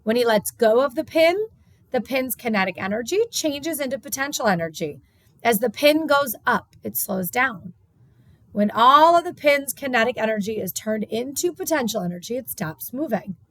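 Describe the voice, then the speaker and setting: A woman's voice: a little high, animated, normal speed, a bit bright, dry recording. Woman teacher, dry close sound. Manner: instructional, step-by-step, repeats key points. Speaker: science teacher. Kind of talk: reading a textbook lesson aloud with explanations.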